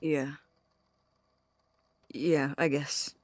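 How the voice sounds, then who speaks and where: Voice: medium pitch, level tone, dry recording. An actor playing an awkward, hesitant young man about to leave for college, in a naturalistic audio drama. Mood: hesitant, guilty. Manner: hesitant, halting, self-conscious.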